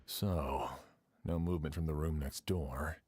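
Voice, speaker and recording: A methodical video game investigator with a low voice. He is composed, serious and probing, and there is faint noise in the recording. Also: fairly even intonation, a little mellow. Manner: measured, inquisitive, serious. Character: composed, methodical male investigator questioning witnesses. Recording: faintly noisy game livestream capture.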